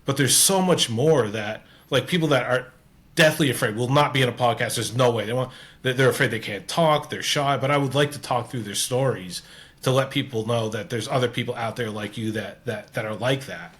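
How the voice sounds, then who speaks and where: Canadian man, mid-pitched host, earnest and rambly in casual conversation. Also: fairly quick, a bit bright. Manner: rambling, restarts often, earnest. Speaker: podcast host. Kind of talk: casual interview chat.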